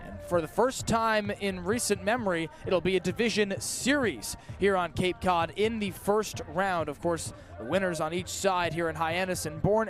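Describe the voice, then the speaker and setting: A male baseball broadcaster with a very high-pitched voice delivers live radio play-by-play. He calls the game in punchy, clipped phrases, stretching words out as plays get bigger. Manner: punchy clipped calls that build to drawn-out shouts on big plays.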